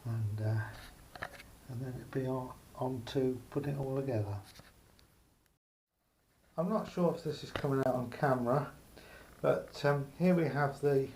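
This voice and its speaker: A man giving a casual, unscripted hands-on demonstration as a hobbyist, speaking haltingly with pauses in mid-phrase. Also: mid-range voice, a little mellow, low-quality recording. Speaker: hobbyist showing a homemade radio aerial project. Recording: faint background noise, amateur camera recording.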